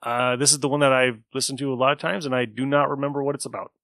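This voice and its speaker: A man's voice: medium pitch, steady tone, fast talker, fairly bright tone, dry recording. A man hosting a podcast, chatting casually as he reviews an episode. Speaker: podcast host.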